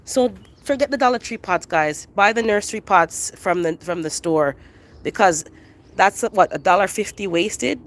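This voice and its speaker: A gardening livestream host with a congested, nasal voice from a head cold, chatting in a relaxed, rambling way with viewers while outdoors in a backyard garden, recorded on a handheld phone. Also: mid-range voice, moderate pace. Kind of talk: casual live chat with viewers.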